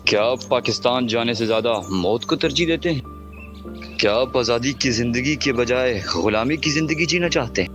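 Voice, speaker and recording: A man's voice: medium pitch, fairly quick, a bit bright, soft background music, close to an everyday voice. A man playing a resolute refugee leader. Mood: firm. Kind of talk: audio drama acting.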